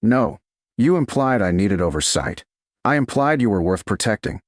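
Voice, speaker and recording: A man voicing a steady, controlled, protective medieval duke in an audiobook, calm, terse and measured. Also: on the low side, dry recording, close to an everyday voice. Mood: quietly insistent. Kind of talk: audiobook character dialogue.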